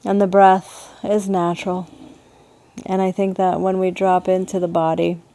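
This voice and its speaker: A woman leading a guided meditation as a yoga teacher, speaking in a soothing, gentle manner with unhurried pauses. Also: on the low side, level tone.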